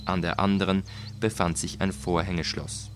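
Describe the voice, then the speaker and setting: Low voiced guy reading a gothic audiobook, deliberate and suspenseful, music behind him. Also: steady tone. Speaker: audiobook reader. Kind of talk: dramatic literary narration of a gothic tale.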